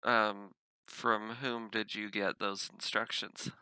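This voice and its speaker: A man roleplays casually at a game session. His low voice is very bright and sharp in a dry-sounding recording, with a hesitant, questioning delivery. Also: flat delivery, slow pace, clear, resonant tone, no room sound, rough recording. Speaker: game player. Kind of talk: casual in-character tabletop roleplay and narration.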